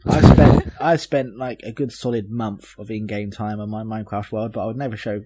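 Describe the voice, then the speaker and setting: A man's voice: lowish voice, steady tone, fairly quick, dark tone. Blunt man hosting casual chat. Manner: blunt, sweary. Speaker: podcast host. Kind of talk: casual film chat, working through a list.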